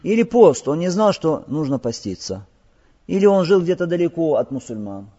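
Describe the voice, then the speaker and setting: A man's voice: a little high, sing-song, normal speed, dark tone, slightly rough. A man teaching religious law in a lecture, explaining texts and asking his listeners rhetorical check-in questions, with passages recited in Arabic. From Crimea. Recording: dull lo-fi audio with long hall reverb.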